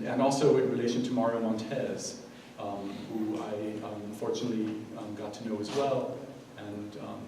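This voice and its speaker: An American man, a scholar and curator, giving an unscripted speech at an event, halting with many ums and restarts. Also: mid-range voice. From United States. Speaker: guest speaker, scholar and curator. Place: large event room. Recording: echoey room, mic far off, audible noise.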